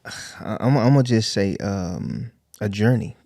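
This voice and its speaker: A man's voice: fairly low pitch, animated, measured pace, vocal fry, warm tone, no room sound, studio quality. A man talking as a podcast guest in a laid-back, easygoing way, dropping "you know" and "man" often. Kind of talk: casual interview chat.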